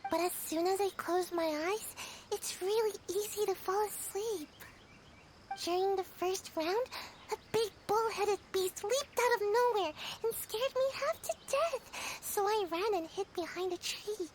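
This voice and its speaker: A woman's voice: extremely high pitch, unhurried, a little hiss or hum. A woman voicing a sleepy, timid little girl in a video game cutscene, earnest and subdued. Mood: drowsy, timid. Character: drowsy, timid little girl who dozes off easily. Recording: game music behind the voice.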